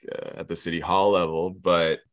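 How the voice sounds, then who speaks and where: A man's voice: sits low, little pitch movement, moderate pace. A man in casual conversation, rambling with many fillers and a sardonic edge.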